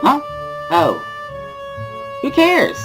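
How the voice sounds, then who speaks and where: Man putting on a giggly, high-pitched schoolgirl voice. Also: huge pitch swings, extremely slow, over music, exaggerated voice. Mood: loud, proud. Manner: exuberant, sing-song with big laughs. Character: bubbly teenage schoolgirl, loud, giggly, ditzy.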